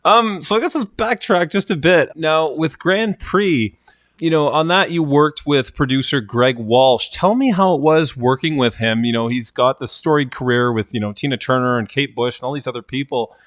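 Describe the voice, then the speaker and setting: A man's voice: fairly high pitch, normal speed, fairly bright tone, no echo. A man hosting a music radio show, introducing and interviewing a guest on a close mic.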